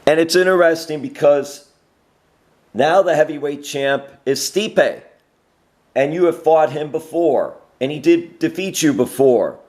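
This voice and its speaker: A man's voice: fairly high pitch, a little slow, darkish tone. A man hosting a conversational sports interview, asking long, encouraging questions. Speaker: interviewer, host.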